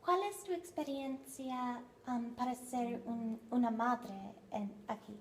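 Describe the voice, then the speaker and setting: A young woman interviewing in halting Spanish, hesitant with many ums. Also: fairly high pitch, expressive, slight background noise, low-quality recording. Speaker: interviewer, student.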